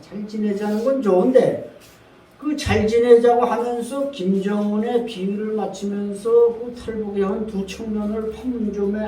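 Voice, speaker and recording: A man's voice: sits high, mellow, far from the mic. A man in his sixties from North Korea, giving an earnest, emphatic talk to an audience, with many fillers. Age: 60s. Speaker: guest speaker. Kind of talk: lecture to a seated audience. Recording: echoey room, speaker off-mic with faint noise.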